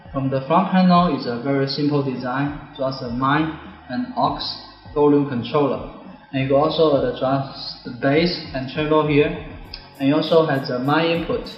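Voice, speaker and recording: Young Chinese-accented man in an echoey room. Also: medium pitch. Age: 20s. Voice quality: non-native English with halting grammar. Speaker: product demonstrator. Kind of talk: product demonstration. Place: classroom-like indoor room with desks. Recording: echoey room, music behind.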